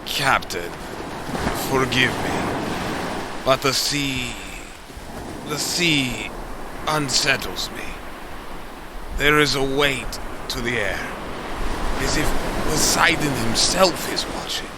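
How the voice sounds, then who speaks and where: An uneasy, superstitious Greek sailor, played by a man, speaks slowly and haltingly to his captain. He sounds deferential, in epic audio drama style, with loud waves around him. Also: medium pitch, heavy background noise, voice pushed up. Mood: hesitant, fearful. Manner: hesitant, halting.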